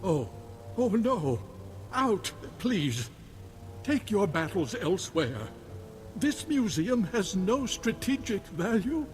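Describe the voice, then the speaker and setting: A man's voice: high-pitched, very animated, slow, heavily acted. A man playing a fussy, anxious elderly museum curator in a video game, prim, fretful and dramatic. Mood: dismayed. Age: older. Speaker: character actor in a video game. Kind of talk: scripted game dialogue. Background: music.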